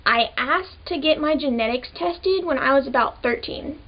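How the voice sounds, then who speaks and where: A young woman of twenty with a high voice, talking to camera in a relaxed, chatty way and leaning on "like" between thoughts. The audio sounds a little dull and muffled. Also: normal speed. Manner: casual, chatty, many "like" fillers. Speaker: vlogger. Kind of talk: personal storytelling to camera.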